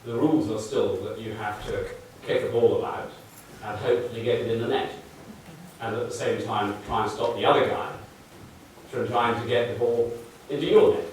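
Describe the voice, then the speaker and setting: A man's voice: fairly low pitch, steady tone, moderate pace, a little hiss or hum. An older man with a Mancunian accent giving a public lecture, explaining charts with dry, wry asides. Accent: northern English, Mancunian. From Manchester, England. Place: large lecture hall. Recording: very echoey hall, voice from far off.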